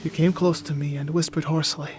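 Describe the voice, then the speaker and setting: An actor playing a grave, dutiful schooner captain full of dread, solemnly narrating the log in a gothic radio play. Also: male voice, a little high, level tone, fast, a little mellow, natural voice. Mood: grave, uneasy. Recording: music playing behind the voice. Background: music.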